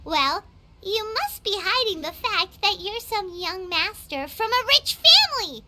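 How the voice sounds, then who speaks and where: A woman voicing a tiny, childlike, excitable fairy companion in a video game, with a squeaky, chirpy delivery. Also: a little slow, heavy background noise, exaggerated voice. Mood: excited, smug. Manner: speaks of herself in third person, chirpy. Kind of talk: video game character acting. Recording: game music behind the voice. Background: game music.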